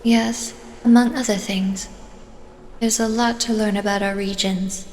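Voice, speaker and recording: Woman acting as flat, reserved fighter, hall reverb. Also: medium pitch, measured pace, close to an everyday voice. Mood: dutiful, polite. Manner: reserved, even, formal. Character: reserved, dutiful female long-range fighter. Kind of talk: scripted fan audio drama acting. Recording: reverberant hall, faint noise.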